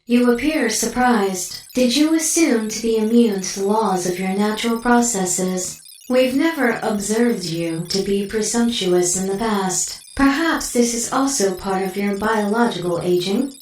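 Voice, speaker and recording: An actress playing a coolly clinical artificial intelligence in an audio drama, speaking in precise, formal, detached phrases. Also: female voice, medium pitch, measured pace, darkish tone, reverberant, distant mic, soft background music, lightly acted, low-quality recording. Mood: matter-of-fact. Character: coolly clinical female artificial intelligence delivering blunt data.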